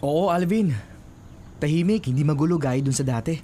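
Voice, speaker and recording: An actor playing a kind, helpful young man in a Filipino radio drama, speaking in a gentle, earnest and friendly way. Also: a little high, moderate pace, a little hiss or hum, lightly acted. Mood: guarded. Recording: music bed behind the voice.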